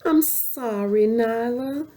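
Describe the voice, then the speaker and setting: A woman's voice: fairly high pitch, slow pace, dark tone, voice pushed up. A woman voicing a friendly young male lion cub in a children's storybook, with an eager, pleading manner.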